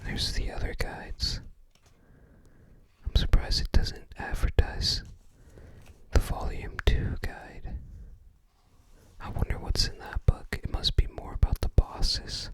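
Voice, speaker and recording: An ASMR host speaking in a breathy, unvoiced whisper, musing aloud in short, casual fragments while looking through a book. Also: slow, long pauses.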